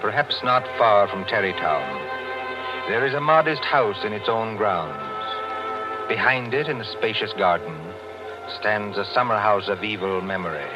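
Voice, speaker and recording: Deep-voiced ominous radio narrator. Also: male voice. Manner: measured, ominous storytelling. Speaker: narrator of the anthology. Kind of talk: scripted 1940s radio narration. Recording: muffled 1940s radio broadcast with music.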